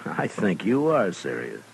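A man's voice: low voice, wildly swooping pitch, takes it slowly, vocal fry, exaggerated voice, poor audio. A man acting in a 1950s radio western as a weary frontier marshal, laconic and wry, with dry humor. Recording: vintage radio recording, faint noise.

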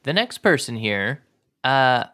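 A man's voice: medium pitch, very animated, slow pace, bright, forceful tone. A man hosting a conversational history podcast, talking informally and with enthusiasm. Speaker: podcast host.

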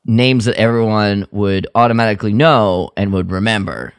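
A man co-hosting a podcast in casual, animated chat, given to comically exaggerated opinions. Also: fairly low pitch, moderate pace, clear, resonant tone, no echo, clean studio sound. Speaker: podcast co-host. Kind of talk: casual chat about a radio drama.

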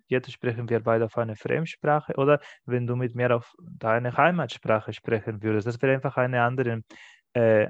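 A man fields questions after a talk, speaking in a measured, hesitant way as he thinks aloud. His voice sits in a middle range. The recording is dry and a little dull. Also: medium pitch, no room sound. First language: Catalan. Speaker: presenter answering questions. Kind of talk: academic talk and Q&A.

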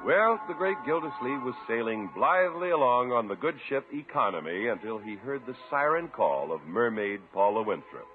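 A man's voice: medium pitch, big pitch swings, moderate pace, hardly any pauses, narrow, tinny audio, music in the background. An American man working as a 1950s radio announcer, delivering emphatic sales talk. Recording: band-limited old radio recording.

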